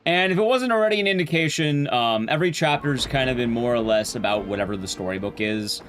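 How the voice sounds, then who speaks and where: A man's voice: mid-range voice, lively intonation, quick pace, fairly bright tone, soft background music. A man hosting a livestream, chatting casually with viewers in informal slang, with emphatic, teasing commentary on a game. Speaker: livestream host.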